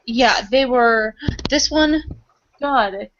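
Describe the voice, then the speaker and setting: Woman, fairly high pitch, casual hesitant roleplay chat, dull lo-fi sound. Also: drawn-out words, a bit bright, slight background noise. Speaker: roleplaying game player. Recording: dull lo-fi recording.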